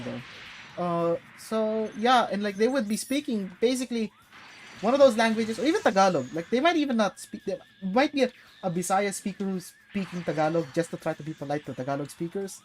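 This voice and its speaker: A woman's voice: low-pitched, slight background noise, rough recording. A young woman from the Philippines chatting casually while playing a game, rambling with fillers and casual swearing. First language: English. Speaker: gameplay streamer. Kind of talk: casual gaming chat.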